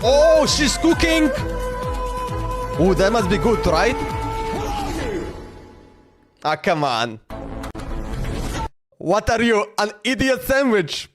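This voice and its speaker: A man's voice: high-pitched, very animated, slow pace, pauses often. A man livestreamer chatting casually in a slangy, exclamatory way as he reacts, often repeating himself. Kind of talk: casual reaction stream chat. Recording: background music under voice. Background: music.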